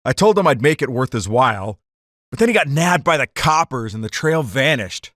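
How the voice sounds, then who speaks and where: A man's voice: medium pitch, sing-song, normal speed, fairly bright tone, no echo, studio quality. A man playing a hot-headed card-cheating gangster telling his story in slangy 1940s radio drama style. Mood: narrating, bitter.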